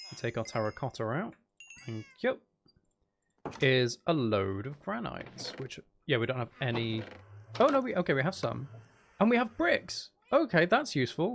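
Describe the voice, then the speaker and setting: A man's voice: a little high, vocal fry, noisy background. A man chatting casually and thinking aloud as he hosts a live game stream, talking to his viewers.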